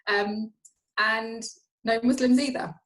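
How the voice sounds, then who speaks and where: A woman's voice: on the high side, steady tone, slow, low-quality recording. A woman born and raised in Norway, a finance professional chatting as an interview guest, often repeating words as she restarts her sentences. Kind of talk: casual interview conversation.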